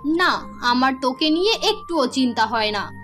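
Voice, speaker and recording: A woman's voice: high voice, lively intonation, normal speed, a bit bright, soft background music. A woman voicing a spoiled, greedy young sister in a cartoon fairy tale, curt, demanding and dismissive.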